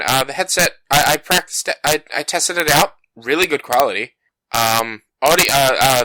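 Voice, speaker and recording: A man's voice: sharp tone, no echo, poor audio. A man co-hosting a casual gaming podcast, talking in a rambling, self-deprecating way with plenty of fillers. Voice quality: bassy voice. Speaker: podcast co-host. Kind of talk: casual chat. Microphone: home podcast mic.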